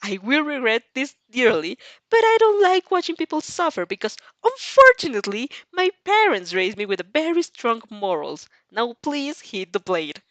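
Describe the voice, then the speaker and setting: A woman voicing an exasperated, polite but stubborn high school girl in a story reading, blunt and annoyed. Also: very animated, moderate pace, fairly bright tone, dry recording. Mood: aggravated.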